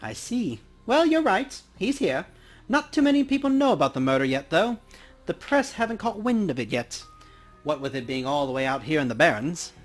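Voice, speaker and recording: A man puts on a dwarf coroner from a video game, wry and ghoulishly cheerful. The pitch swings up and down in a lively way as he chats, with music playing behind. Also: moderate pace. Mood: guarded. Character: dwarf coroner, lopsided grin, kindly, ghoulishly cheerful male. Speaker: voicing characters in a game playthrough. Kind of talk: character reading in a let's play.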